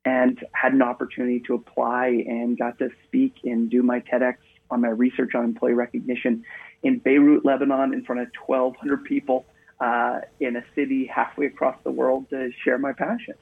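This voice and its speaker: A man from Maine talks as a radio guest, telling stories in a chatty, upbeat way. His voice has a gravelly, husky edge. It comes through a thin, tinny phone line. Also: medium pitch, flat delivery, normal speed. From Maine, USA. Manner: chatty storyteller, upbeat, leans on "actually". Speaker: guest, international speaker. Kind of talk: casual interview conversation.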